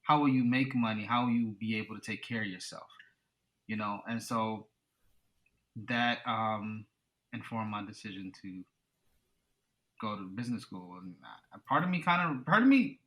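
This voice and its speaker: A man's voice: fairly low pitch, moderate pace, long pauses, fairly bright tone. A man in his thirties from the United States, a podcast guest who talks things through thoughtfully and often says "you know". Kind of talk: casual conversation.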